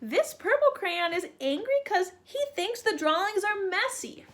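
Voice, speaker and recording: A high-voiced woman reads aloud and explains things for young children. Her pitch swings a lot and she goes slowly, in simple words with frequent questions. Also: sing-song, a bit bright. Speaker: children's read-aloud teacher. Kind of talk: explaining and reading a picture book to young children.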